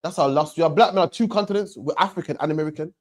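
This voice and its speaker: A man preaching in an emphatic, repetitive way, hammering each point home. His voice sits a little higher than most men's. He ends his phrases with "man". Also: racing. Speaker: religious teacher. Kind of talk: street preaching to a questioner.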